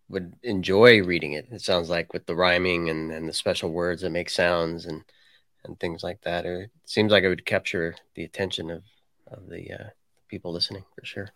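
Male co-host, low voice, easygoing rambling interview style, dry sound. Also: a bit bright, dry recording. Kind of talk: casual interview chat.

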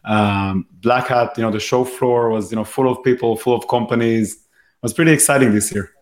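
Echoey male guest with rough voice. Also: mid-range voice, steady tone. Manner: halting, hesitant phrasing. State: rough, worn-out voice. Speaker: podcast guest. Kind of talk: casual interview answers. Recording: echoey room.

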